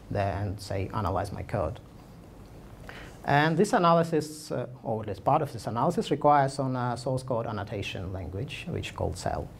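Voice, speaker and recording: A man with a gravelly, creaky voice talks through slides at a meetup in a loose, filler-heavy way. He speaks at an ordinary pitch, with faint low rumble in the room behind him. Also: darkish tone. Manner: casual, filler-heavy, frequent "like" and restarts. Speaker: conference speaker. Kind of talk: technical talk with slides.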